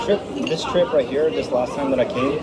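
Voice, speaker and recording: A young man from New Mexico chats in an easy, unhurried way, restarting phrases as he thinks. Loud crowd chatter and street noise surround him, as if recorded in a busy public eatery. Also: mid-range voice. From New Mexico, United States. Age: young adult. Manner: relaxed, repeats words while thinking. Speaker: guest, property investor. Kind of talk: casual interview chat.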